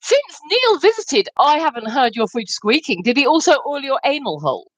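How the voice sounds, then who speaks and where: Cheeky woman from London, high lively voice. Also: moderate pace, no echo. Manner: cheeky, laughs often, teasing. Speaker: livestream co-host. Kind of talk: casual banter.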